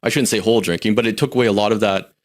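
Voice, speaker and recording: A man's voice: lowish voice, steady tone, racing. A Canadian man in his forties, a podcast guest, talking candidly and reflectively about his life, often restarting his sentences. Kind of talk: casual personal storytelling.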